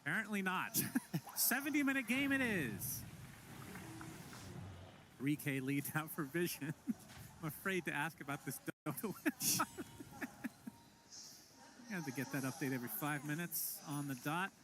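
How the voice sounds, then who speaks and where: A man casting a live esports match, calling the play quickly and with excitement, and laughing often. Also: fairly high pitch, background noise.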